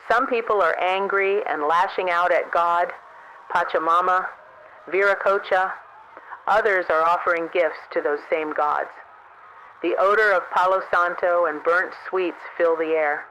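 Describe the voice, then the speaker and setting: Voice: mid-range voice, bright, forceful tone, a little hiss or hum, natural voice, low-quality recording. A man playing a solemn, observant field correspondent who describes the world's end in a scripted radio drama report.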